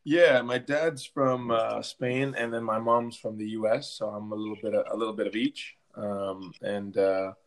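A man with a fairly low voice answers interview questions as a coach. His intonation stays very flat. He talks informally, often doubling back on his words. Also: measured pace, hardly any pauses. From Belgium. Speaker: interview guest, soccer coach and team captain. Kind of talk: conversational interview.

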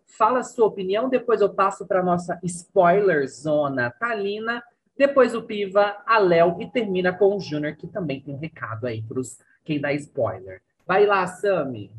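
A woman with a Brazilian accent and a husky voice, presenting a show as host in an upbeat style. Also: on the low side, slight background noise.

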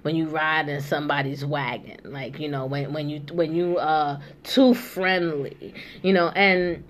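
A woman's voice: deep voice, steady tone, measured pace. A young woman hosting a casual chat, talking loosely with many asides and fillers. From New York. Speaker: host.

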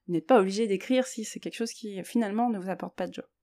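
A woman's voice: medium pitch, quick pace, mellow. A woman hosting a solo podcast, talking reflectively and hesitantly as she thinks aloud. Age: 30s or older. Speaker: podcast host.

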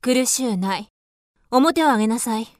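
An actress playing a grand young countess in a radio drama, with an aristocratic, imperious way of speaking. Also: female voice, on the high side, expressive, normal speed, very noisy, close to an everyday voice. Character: haughty young countess, grand and easily smitten.

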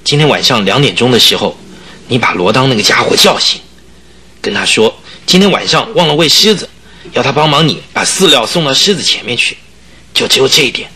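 Sharp, scheming young circus man, noisy recording. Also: medium pitch, lively intonation, a little fast. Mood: conspiratorial, urgent. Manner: urgent, persuasive, theatrical. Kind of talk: Chinese radio drama acting. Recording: noisy old radio drama recording.

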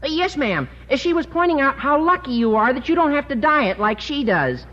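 An actor playing an eager, gossipy teenage schoolboy in a 1950s radio sitcom, chatty and hesitant. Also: male voice, very high voice, very animated, brisk, bright, forceful tone, exaggerated voice. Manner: chatty, hesitant, says uh a lot. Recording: muffled old radio broadcast.

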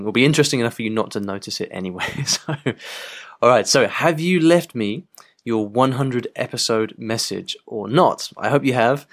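Chatty English man hosting a podcast. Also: mid-range voice. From England. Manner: chatty, loose, full of "you know" fillers and restarts. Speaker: podcast host. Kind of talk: casual podcast chat. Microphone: broken microphone with poor sound.

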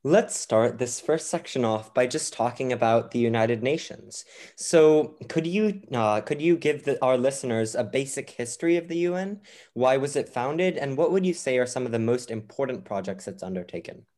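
A man's voice: medium pitch, lively intonation, normal speed, a little mellow. A young man hosting a podcast, upbeat and reading prepared questions to his guests. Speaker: podcast host.

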